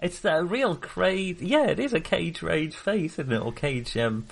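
A man with a British accent discussing films casually as a podcast co-host, in an animated, wry, conversational manner. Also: a little high, measured pace, gravelly, slight background noise.

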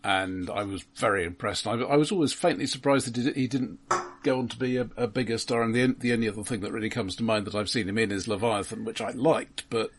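A man's voice: lowish voice, fast, slight background noise. A man co-hosting a casual film chat, stammering as he shares background facts. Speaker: podcast co-host.

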